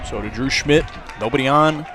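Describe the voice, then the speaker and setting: A man's voice: mid-range voice, moderate pace, sharp tone. A man calling a baseball game live as a radio play-by-play announcer. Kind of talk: live radio sports commentary. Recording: outdoor ballpark crowd and ambient noise. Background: ballpark crowd, outdoor noise.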